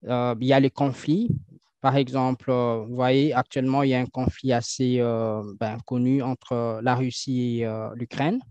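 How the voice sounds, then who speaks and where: A man answering interview questions and explaining things, often pausing with fillers and restarting his sentences. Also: mid-range voice, little pitch movement, a little mellow, clear, resonant tone, no echo. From French-speaking country. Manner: hesitant, many fillers and restarts. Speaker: guest. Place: Toronto. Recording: dull lo-fi dry sound.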